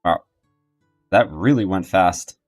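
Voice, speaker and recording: A man's voice: low voice, slow pace, faint music behind. A man giving casual let's play commentary and reading game text aloud as he goes. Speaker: gaming YouTuber.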